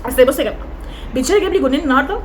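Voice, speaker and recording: A young woman with an Egyptian Arabic accent, hoarse from cheering, rating football players in a chatty, teasing, emphatic monologue. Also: high voice, lively intonation, racing, noisy background. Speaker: football fan vlogger.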